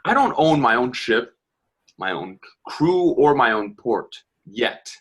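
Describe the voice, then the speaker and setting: A man's voice: mid-range voice, sing-song, takes it slowly, slightly rough, heavily acted. An actor playing a proud, ambitious, earnest young working man in a dramatic play reading. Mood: defiant.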